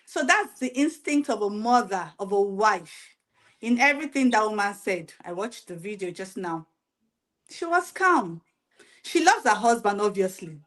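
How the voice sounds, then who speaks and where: A woman's voice: on the high side, fairly bright tone. Earnest woman arguing her view. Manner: earnest, argumentative. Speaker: panelist. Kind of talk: opinionated panel chat.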